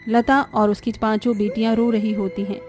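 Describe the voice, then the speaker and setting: A woman's voice: on the high side, flat delivery, moderate pace, darkish tone, soft background music. A woman narrating a scripted family story as its storyteller.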